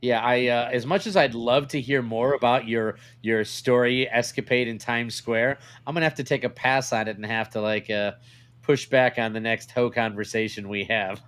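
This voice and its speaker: A man with a mid-range voice chats as a podcast co-host. His delivery is dry and skeptical, a little halting as he hunts for the right words. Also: mid-range voice, fairly even intonation, fairly bright tone. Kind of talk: casual chat.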